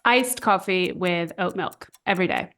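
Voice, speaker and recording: A woman from Finland, an industry analyst guest on a podcast, cheerful and quick to laugh. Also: lowish voice, lively intonation, fairly bright tone. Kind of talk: casual podcast chat.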